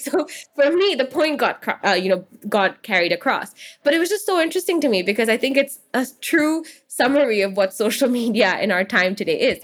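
A woman in her twenties from Hong Kong, a guest entrepreneur telling stories earnestly in a casual interview, with quick asides. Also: a little high, a bit bright. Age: 20s.

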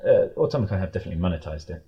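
A man chatting casually as an interview guest, in a rambling, halting way that often doubles back on itself. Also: deep voice, lively intonation, breathy edge, dull audio. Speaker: audio drama creator, interview guest.

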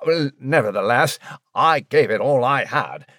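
Dapper little English mouse: bright, sharp, animated, fussily polite, cartoonish audio drama. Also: male voice, medium pitch, unhurried, no echo, clean studio sound. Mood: proper, earnest. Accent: British English. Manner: proper, old-fashioned, fussy and cheery. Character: small, polite, plucky English gentleman mouse. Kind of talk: comic audio drama character acting.

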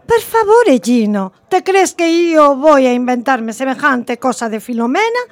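A woman's voice: high voice, big pitch swings, measured pace. A woman playing an evasive, gossiping young woman in a radio play, coy and theatrical. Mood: defensive, coy. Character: gossiping young Roman women, evasive and self-excusing.

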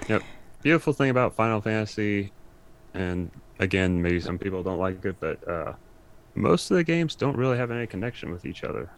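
A man's voice: fairly low pitch, animated, brisk. A man talking as a podcast guest in a casual, conversational style. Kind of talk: casual chat about anime and games.

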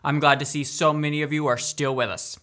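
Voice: medium pitch, fairly even intonation, fairly quick, a bit bright, natural voice. An actor playing a genial playhouse announcer in formal, theatrical 1940s radio style.

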